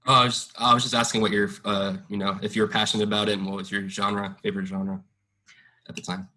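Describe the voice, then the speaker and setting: A man's voice: fairly low pitch, flat delivery, fast, bright, forceful tone, low-quality recording. A young man interviewing a musician for student radio, asking questions hesitantly with frequent uhs and restarts.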